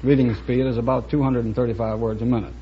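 A man's voice: mid-range voice, little pitch movement, fast talker. A middle-aged man from Virginia giving dry, careful answers to a quiz host. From Virginia, USA. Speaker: quiz show contestant. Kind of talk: interview answers. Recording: muffled old broadcast with faint hiss.

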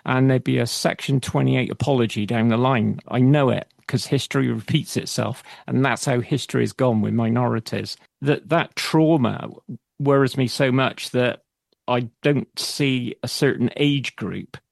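A man hosting a radio interview, earnest and thoughtful, his voice a bit croaky. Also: medium pitch, moderate pace, no echo, clean studio sound. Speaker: radio show host.

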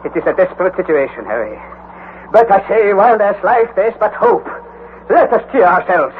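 A bold, authoritative older scientist holds forth in a high, lively voice. He speaks in theatrical old radio drama style. The sound is a thin, phone-like broadcast with faint hiss. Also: moderate pace. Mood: steady, resolute. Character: older male scientist uncle, bold and commanding. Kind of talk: 1940s radio acting.

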